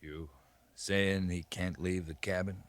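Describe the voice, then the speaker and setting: A man's voice: low-pitched, fairly even intonation, slow pace, no room sound. A man playing a terse, courteous but firm American cavalry captain in a 1950s radio western. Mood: level, bargaining. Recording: vintage 1950s radio recording.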